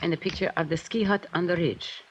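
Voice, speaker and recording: A woman's voice: on the low side, fairly even intonation, brisk, close to an everyday voice. An actress playing an earnest, insistent young woman, a detective's companion, with dramatic 1950s radio delivery. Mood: soft, concerned. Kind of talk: 1950s American radio acting. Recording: dull, faintly noisy vintage radio recording.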